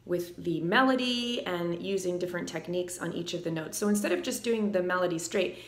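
A woman's voice: lowish voice, fairly quick. A woman in her thirties, a vocal coach, explaining technique and singing short examples in a living room on a lapel mic. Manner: explanatory, breaks into sung examples. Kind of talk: singing lesson with sung demonstrations. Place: living room with piano, indoors. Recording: roomy echo, faint music.

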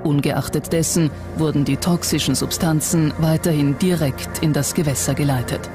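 A documentary narrator reading a script in a measured, serious manner. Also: medium pitch, steady tone. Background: music under the voice.

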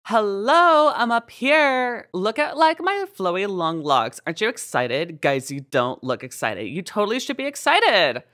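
A vain young cartoon damsel, high and very bright. She pouts in a sassy valley-girl drawl that swings up and down dramatically. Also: very animated, a little slow, few pauses, exaggerated voice. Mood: sassy, pouting.

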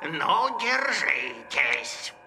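A man playing an angry, bossy house spirit in a cartoon, with a gravelly, theatrical, commanding delivery. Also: a little high, sing-song, drawn-out words, full character voice, low-quality recording. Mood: angry, menacing. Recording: loud music behind. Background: music.

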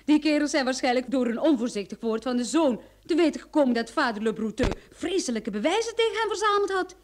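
An actress playing a sharp, confident female private detective in a radio play, explaining things in an assured, theatrical way. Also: sits high, lively intonation, quick pace. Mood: insistent. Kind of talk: Dutch-language radio drama acting.

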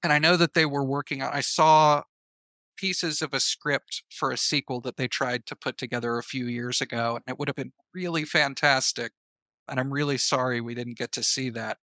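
Bright-voiced man chatting as a guest. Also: medium pitch, fairly even intonation, normal speed, no echo. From American Southeast. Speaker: podcast guest, writer and filmmaker. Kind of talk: casual interview chat, giving advice.

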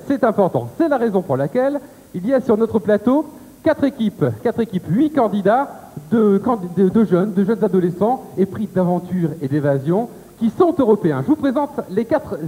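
A man's voice: sits high, big pitch swings, brisk, mellow. A man in his thirties hosting a television game show, chatty and upbeat as he quizzes the contestants. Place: TV studio set. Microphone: handheld mic. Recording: reverberant studio, faint tape hiss.

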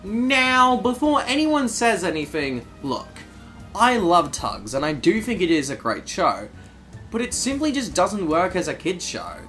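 A man's voice: high voice, sing-song, a little slow, a bit bright, faint music behind. A man hosting a video essay, narrating from a script.

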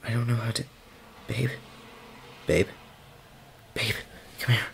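A man's voice: mid-range voice, fairly even intonation, slow pace, gravelly, slight background noise. A man playing a tender, whispering daemon boyfriend. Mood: soothing. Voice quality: soft, often whispered. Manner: stammering, affectionate. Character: tender daemon boyfriend, male, young adult. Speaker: voice actor. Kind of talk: ASMR roleplay.

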